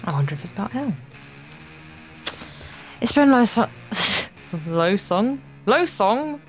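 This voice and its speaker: A woman's voice: mid-range voice, very animated, slow, soft background music. A young woman co-hosting a let's play, reading visual novel text aloud with playful, casual banter.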